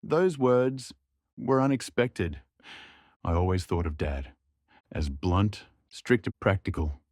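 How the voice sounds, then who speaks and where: A young man narrating a drama in the first person, in a quiet, reflective way. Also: low-pitched, lively intonation, slow pace, dry recording, studio quality.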